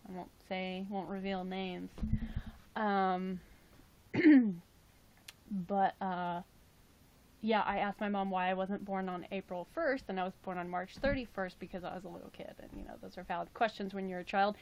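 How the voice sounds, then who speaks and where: A woman's voice: steady tone, normal speed, a little mellow. A woman in her late twenties hosting a casual livestream, chatting and joking with viewers. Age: 20s. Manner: chatty, jokey, with playful high exclamations. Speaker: livestream host. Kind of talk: casual livestream chat reading viewer comments.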